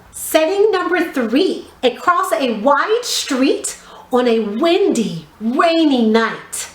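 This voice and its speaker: A woman giving an instructional drama lesson for young children, speaking in an expressive, encouraging, clearly enunciated way. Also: high-pitched. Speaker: drama teacher. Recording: roomy, echoey sound.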